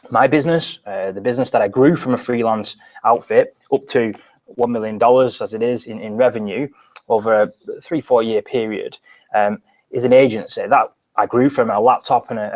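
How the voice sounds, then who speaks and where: British man hosting a live webinar. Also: mid-range voice. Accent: British English. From Britain. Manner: energetic, talks with his hands, frequent restarts. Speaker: webinar host. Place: small bright office, indoors.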